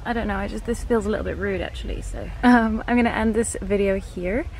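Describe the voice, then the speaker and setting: A woman's voice: mid-range voice, fairly even intonation, fast, a little mellow, a little hiss or hum. A woman in her twenties with a British accent chatting casually and playfully to a handheld camera at a festival. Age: 20s. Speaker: vlogger. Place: outdoors at a festival, later inside a tent. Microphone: camera at arm's length.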